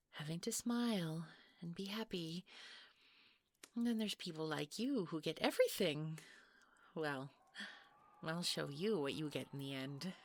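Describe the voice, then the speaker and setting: Woman acting as jealous obsessive wife, audio roleplay, creaky breathy voice, slightly low, sugary taunting menace. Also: no echo, clean studio sound, heavily acted. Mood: mock-sweet. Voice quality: breathy, creaky, often near whisper. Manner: slow, sugary, taunting, breaking into giggles.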